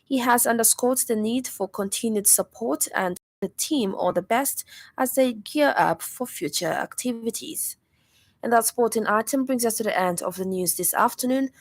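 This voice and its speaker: A woman's voice: a little high, normal speed, dry recording. A woman with a Zambian accent reading a formal scripted radio news bulletin. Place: radio studio.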